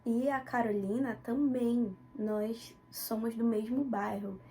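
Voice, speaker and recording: A woman's voice: a little high, fairly even intonation, slow, mellow, slight background noise. A young woman with a northern Brazilian accent from Belém, giving a student presentation as a personal account. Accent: northern Brazilian Portuguese, Belém. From Belém, Pará, northern Brazil. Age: young adult.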